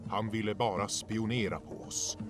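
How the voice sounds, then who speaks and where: A man's voice: on the low side, steady tone, natural voice. Stern lion king, played by a man, music behind. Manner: stern, commanding. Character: stern, distrustful adult lion king, father. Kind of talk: cartoon fan dub acting.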